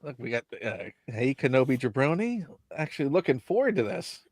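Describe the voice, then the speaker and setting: A man hosting a casual live chat, crisp voiced and joking with his guest. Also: sing-song, fairly quick, dry recording. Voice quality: crisp, slightly high voice. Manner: playful, jokey. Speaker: host.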